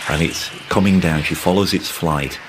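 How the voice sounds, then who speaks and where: A man's voice: low voice, moderate pace, background noise. A man speaking as a film commentator, recalling and describing in a documentary interview.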